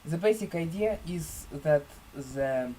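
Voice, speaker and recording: A man presenting a screen-share software tutorial in halting, deliberate phrases with formal, elaborate wording. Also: a little high, animated, slight background noise.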